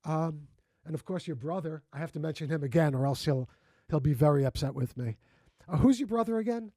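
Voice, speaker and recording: A man in his sixties hosts a relaxed interview, his voice sitting a touch high but with a dark, mellow color. He talks effusively about shared memories and often stops and restarts mid-sentence. The sound is close and dry. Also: a little fast, no room sound. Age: 60s. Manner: effusive and reminiscing, with frequent restarts. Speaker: host. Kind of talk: casual interview conversation.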